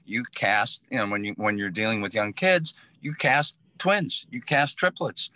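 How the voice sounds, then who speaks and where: Male panel guest, bright punchy voice, dry sound, casual and wry. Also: on the low side, animated, measured pace, dry recording.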